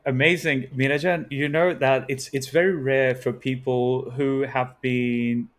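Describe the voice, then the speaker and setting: A man's voice: medium pitch, flat delivery, a little slow. Friendly male podcast host. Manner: friendly, frequent affirming murmurs. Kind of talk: conversational interview hosting.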